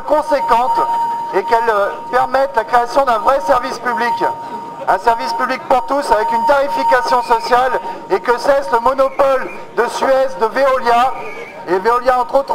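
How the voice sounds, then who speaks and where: A man's voice: extremely high pitch, normal speed, low-quality recording. A man giving an emphatic, demanding militant speech as a protester at a public meeting. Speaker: protester addressing a council meeting.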